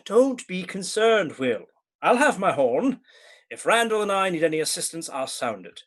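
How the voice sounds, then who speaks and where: A man's voice: sits high, very animated, normal speed, fairly bright tone, full character voice. A man acting a gallant, teasing outlaw leader in a radio play, with courtly, playful phrasing. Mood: reassuring, firm.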